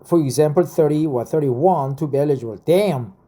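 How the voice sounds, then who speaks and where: A man in his fifties from South Korea with a Korean accent, reading information aloud with casual personal asides. He is halting and deliberate and often repeats phrases. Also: fairly high pitch, mellow. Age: 50s. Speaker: vlogger explaining a career path.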